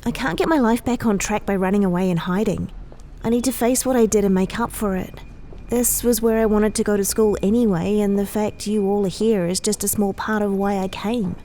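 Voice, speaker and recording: A woman's voice: medium pitch, fairly even intonation, brisk, mellow, a little hiss or hum, close to an everyday voice. A woman playing an earnest, guarded college student in an audio drama, remorseful but resolute.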